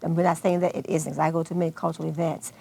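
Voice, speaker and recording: A woman with an American accent speaks in a notably low register. Her voice is very creaky and gravelly. She answers as an event organizer in an unscripted television interview. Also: little pitch movement, rapid-fire, mellow. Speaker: guest, arts festival organizer.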